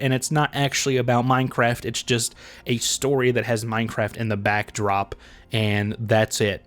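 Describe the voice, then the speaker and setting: A man's voice: medium pitch, no room sound. A man giving casual, opinionated video commentary in a blunt, sarcastic, heavily profane way. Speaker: video essay host.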